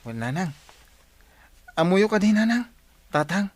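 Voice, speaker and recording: A dutiful, hardworking village son played by a man in an Ilocano radio drama. His voice sits fairly high for a male, with an Ilocano accent. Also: very animated, unhurried, heavily acted. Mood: earnest.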